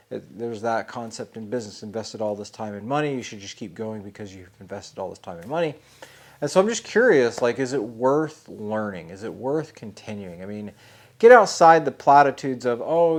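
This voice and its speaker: A middle-aged man talks through his thoughts on a solo podcast. He rambles in an easy, mid-pitched voice, full of "uh" and "you know" and frequent restarts. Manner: thinking aloud, many fillers and restarts. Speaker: podcast host; programming teacher.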